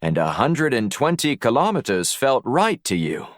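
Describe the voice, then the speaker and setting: A male narrator plays a formal police officer questioning with stern authority. The delivery is measured and unamused, with expressive pitch movement and a close, dry sound. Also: no room sound, natural voice. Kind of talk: audiobook character dialogue.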